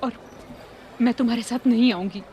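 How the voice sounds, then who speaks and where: A woman's voice: a little high, fairly even intonation, a little fast, muffled recording, a little hiss or hum, natural voice. A woman acting a weary, hurt wife and mother in a film drama, speaking plainly and earnestly with reproach. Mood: fed up. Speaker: actor in a film.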